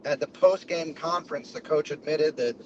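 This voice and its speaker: A man guest with a hoarse voice, recapping a game in plain talk. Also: a little high, normal speed, muffled recording, noisy background. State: hoarse from screaming.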